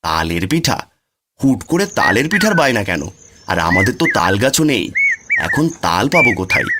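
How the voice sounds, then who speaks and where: A man's voice: on the low side, sing-song, a little fast, heavy background noise. A man voicing a poor, doting village father in a cartoon, humble and coaxing. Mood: puzzled, indulgent.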